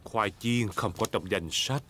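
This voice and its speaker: A man's voice: fairly low pitch, unhurried, heavy background noise, natural voice. A man voicing a jolly, chubby father pig in a cartoon dub, good-humored and chuckling. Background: loud cartoon music.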